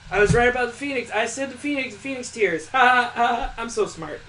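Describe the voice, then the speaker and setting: A man's voice: very high-pitched, fairly quick, bright, forceful tone, a little hiss or hum. A man reading a visual novel aloud as a let's player, breaking in with sarcastic, incredulous asides. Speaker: video game let's player.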